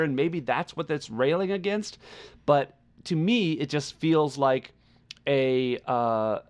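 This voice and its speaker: A man with a middling pitch talks casually as a podcast reviewer. He gropes for the right words, repeating himself and circling back as he shapes his opinion. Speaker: podcast co-host reviewing music. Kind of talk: casual conversational album review.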